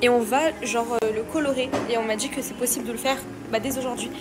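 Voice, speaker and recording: A woman's voice: a little high, fairly even intonation, fast, music in the background. A young woman chatting to her followers in a casual vlog, gushing and repeating herself, filming herself on a phone out on city streets and then inside a salon. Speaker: vlogger. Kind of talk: casual vlog chat to camera. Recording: clear background noise.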